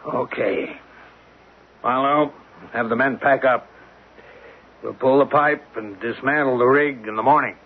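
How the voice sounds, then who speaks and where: An actor playing a firm, businesslike oil drilling boss in an old radio drama, matter-of-fact and decisive. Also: male voice, mid-range voice, fairly even intonation, measured pace, long pauses, natural voice. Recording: muffled, hissy old radio recording.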